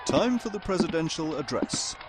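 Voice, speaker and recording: An actor playing a composed, dryly wry announcer in a scripted audio comedy. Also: male voice, a little high, animated, normal speed, over music, a crowd in the background, lightly acted. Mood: unflappable. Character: wry, unflappable male radio-show announcer.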